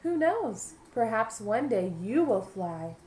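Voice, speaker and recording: Woman voicing wise female butterfly, kind, soothing, encouraging, high-pitched, storybook. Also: very animated, takes it slowly, a little mellow, roomy sound, slight background noise. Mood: secretive, encouraging. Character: kind, knowing female butterfly, gentle and motherly. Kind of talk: storybook read-aloud for young children.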